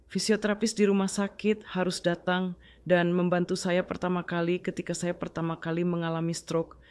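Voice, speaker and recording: A speaker recounting learning to walk again after a stroke, steady and determined with some fillers. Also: mid-range voice, clean tone. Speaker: stroke survivor. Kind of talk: personal testimony.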